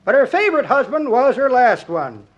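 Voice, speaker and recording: A man's voice: very high voice, sing-song, moderate pace, dull audio, heavily acted. An actor playing a folksy, jokey old man who tells tall tales in rustic speech, in 1950s radio comedy style. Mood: chuckling, storytelling. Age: older.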